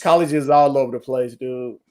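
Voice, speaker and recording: A man co-hosting a casual podcast, telling animated stories and trash-talking his friends in slangy banter. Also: medium pitch, moderate pace. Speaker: podcast co-host.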